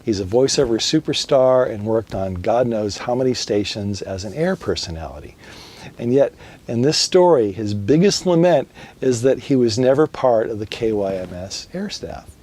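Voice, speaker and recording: A man's voice: mid-range voice, animated, moderate pace, a little mellow. A man presenting a scripted promotional narration with a storytelling structure. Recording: music bed under voice.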